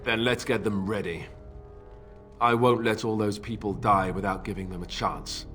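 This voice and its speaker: A man's voice: lowish voice, steady tone, moderate pace, a bit bright, soft background music, close to an everyday voice. A man playing an earnest, self-doubting young warrior heir in a fantasy game, plain-spoken and a little hesitant. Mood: determined.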